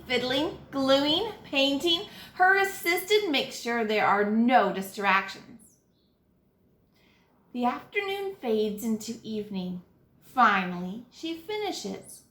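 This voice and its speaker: A woman teacher reading a children's picture book aloud, with dramatic, deliberate phrasing and stressed key words. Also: a little high, fairly bright tone, reverberant, slight background noise. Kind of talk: expressive children's read-aloud narration.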